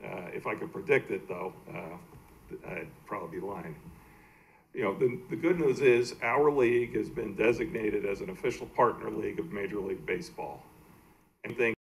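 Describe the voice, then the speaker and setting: Male, American, lowish voice, halting plain-spoken presenter at a public meeting, slight background noise. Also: gravelly, poor audio. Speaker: baseball team executive presenting to a city council. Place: indoor council meeting room.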